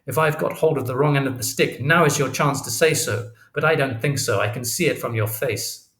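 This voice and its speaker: A man's voice: mid-range voice, expressive, normal speed, echoey room, natural voice. A man voicing a small, humble working father in an audiobook, speaking with heavily stressed, morally indignant words. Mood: righteous indignation. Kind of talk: audiobook character voice.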